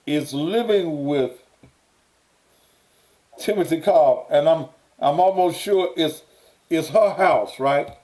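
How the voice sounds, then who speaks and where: A man's voice: fairly high pitch, slow pace, pauses often, dark tone. A man hosting a call-in show, blunt and pressing, who repeats his questions until he gets answers. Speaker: talk show host. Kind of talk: call-in radio talk.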